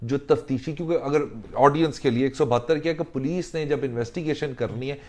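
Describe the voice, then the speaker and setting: A man explaining legal rules in a deliberate way, mixing in English legal phrases. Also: medium pitch. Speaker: legal instructor.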